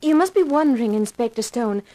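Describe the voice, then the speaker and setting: Actress for businesslike woman agent in 1950s radio crime drama: formal, precise, composed, slightly high-pitched. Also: lively intonation, a little fast, warm tone, close to an everyday voice. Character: composed, businesslike female undercover federal agent.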